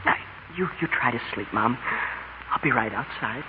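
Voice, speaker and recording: A man's voice: medium pitch, lively intonation, normal speed, close to an everyday voice. An actor playing an earnest, impulsive teenage boy, pleading and stammering eagerly in 1940s radio style. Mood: tender, remorseful. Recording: tinny, noisy 1940s radio recording.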